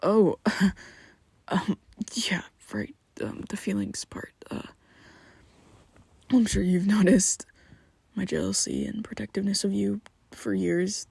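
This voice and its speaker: A woman voicing a shy, tender young male vampire best friend in an ASMR roleplay, whispering softly with hesitant, halting speech. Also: mid-range voice, steady tone, gravelly, slightly rough, no room sound, heavily acted.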